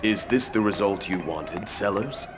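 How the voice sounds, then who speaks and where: A man's voice: fairly low pitch, a little slow, background noise, lightly acted. An actor playing a grave, stern old man in a video game, giving solemn warnings.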